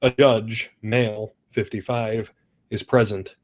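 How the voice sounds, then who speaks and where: A man's voice: fairly low pitch, level tone, slow. A man reading stage directions aloud as narrator of a script.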